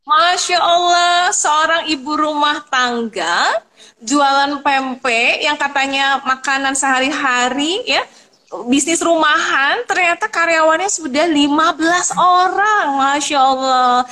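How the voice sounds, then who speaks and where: A woman's voice: high voice, slow pace, sharp tone. A woman hosting a video-call interview as a business coach, enthusiastic and full of exclamations. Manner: enthusiastic, repeats Masya Allah.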